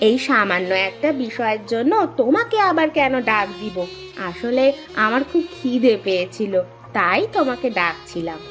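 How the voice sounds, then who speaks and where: Woman acting a high-voiced cartoon village wife. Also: expressive, normal speed, fairly bright tone. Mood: tender, curious. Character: village wives, grumbling housewife and poor humble stove seller. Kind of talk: Bengali fairy-tale cartoon voice acting. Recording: music under the voice.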